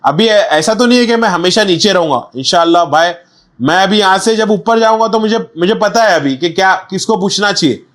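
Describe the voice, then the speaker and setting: A man's voice: high-pitched, brisk, a bit bright. A young man, a guest on a podcast, speaking candidly and reflectively in casual street slang. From India. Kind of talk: casual interview chat.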